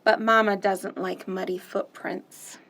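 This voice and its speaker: A woman voicing a fussy little duckling who dislikes mud, in a soft, hushed, slow voice. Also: medium pitch, flat delivery, pitched below the performer's own voice, poor audio.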